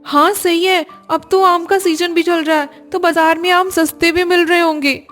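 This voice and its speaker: A woman voicing a playful, polite young Indian daughter-in-law in an animated family story, with a teasing manner. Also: extremely high pitch, moderate pace, soft background music.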